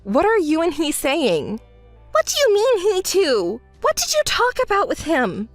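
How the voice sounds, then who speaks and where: A woman's voice: very high voice, huge pitch swings, a little slow, dry recording, faint music behind, full character voice. A woman voicing a spoiled, greedy young woman who whines, demands and shrieks in a dramatized story. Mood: shrieking. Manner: whiny, shrieking, self-pitying.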